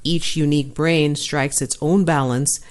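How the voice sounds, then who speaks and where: Plain narrator voice reading a news piece, steady and neutral. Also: medium pitch, measured pace, a little hiss or hum. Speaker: article audio narrator. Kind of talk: scripted news-style reading.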